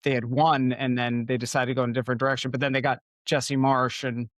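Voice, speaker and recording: A man's voice: medium pitch, level tone, quick pace, fairly bright tone, no room sound. A man from Connecticut hosts a podcast in a loose, chatty way, circling back with plenty of "uh" and "like" as he goes. His voice sits in a middle range and sounds close and dry, with no room around it. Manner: rambling, many fillers and restarts. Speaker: podcast host. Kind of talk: casual interview chat.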